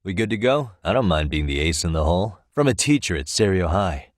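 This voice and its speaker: A male actor voicing a composed, determined private detective in a video game, with a measured, probing delivery. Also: deep voice, sing-song, dry recording, clean studio sound. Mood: probing, dry. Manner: measured, probing, matter-of-fact. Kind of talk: English video game dialogue dub. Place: dry recording studio.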